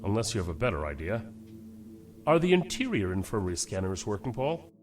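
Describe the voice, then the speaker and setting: An actor playing a calm, commanding starship captain in an audio drama, firm and measured in his delivery. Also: lowish voice, faint music behind. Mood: measured, resolute.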